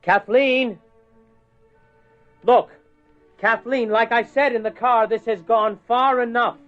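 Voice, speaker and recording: A man's voice: very high-pitched, steady tone, slow, soft background music. A man playing an exasperated, short-tempered husband in a radio horror play, blunt and argumentative. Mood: exasperated, angry. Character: exasperated, short-tempered husband, worried about his obsessed wife. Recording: dull lo-fi radio recording.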